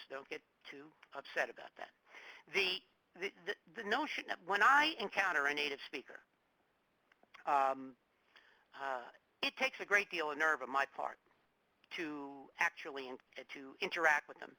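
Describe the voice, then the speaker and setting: A middle-aged man from New Jersey giving a public lecture, talking in a halting way with fillers, restarts and joking asides. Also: fairly high pitch, sharp tone, no room sound, poor audio. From New Jersey, United States. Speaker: guest lecturer.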